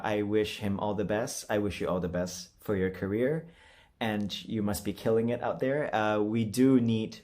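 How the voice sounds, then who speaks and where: Young guy, fairly deep voice, chatty creator vibe, rambly and self-deprecating. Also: a little mellow. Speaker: voice actor and video creator. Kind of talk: casual chat to viewers.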